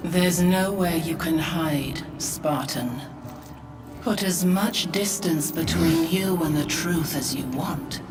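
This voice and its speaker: A spectral goddess from a video game, calm and cruelly taunting. The voice is low and very slow, every word deliberate and menacing. It sits a little far off under loud music. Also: female voice. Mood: cold taunting.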